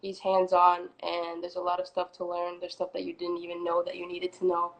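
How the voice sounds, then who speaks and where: A woman in her twenties from Florida, a singer answering interview questions in a relaxed, chatty way with many fillers. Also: on the low side, fairly quick, slight background noise, low-quality recording. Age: 20s. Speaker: guest, singer. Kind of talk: casual interview.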